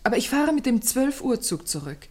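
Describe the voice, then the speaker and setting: A woman's voice: on the high side, very animated, a little fast. An actress playing an anxious, well-bred young lady in a German radio play, speaking earnestly and formally with careful articulation. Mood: composed, polite.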